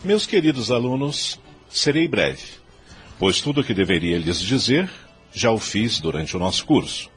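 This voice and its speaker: An actor playing a solemn, high-minded law professor giving a formal, emphatic graduation speech in an audio drama. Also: male voice, big pitch swings, moderate pace, husky, exaggerated voice. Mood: solemn, exhorting. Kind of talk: ceremonial oratory in an audio drama. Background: music.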